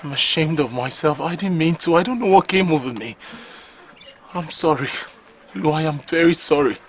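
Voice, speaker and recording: A man's voice: a little high, animated, normal speed, fairly bright tone, a little hiss or hum. An actor playing a remorseful man pleading with the woman he wronged to come back. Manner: pleading, repeating her name. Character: remorseful man begging his estranged lover. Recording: music underneath.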